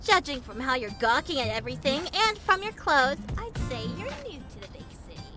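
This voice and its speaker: A very high, lively teenage anime girl. She is a cheeky, easily flustered idol who speaks in theatrical exclamations, with clear music playing behind her girlish voice. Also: brisk. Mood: cheeky, teasing. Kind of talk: anime fandub acting.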